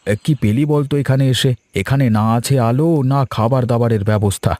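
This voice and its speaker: A man voicing a cautious, worried young man in an animated horror story, hesitant in manner. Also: mid-range voice, moderate pace, no echo, lightly acted. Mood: anxious complaint. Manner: hesitant, uneasy.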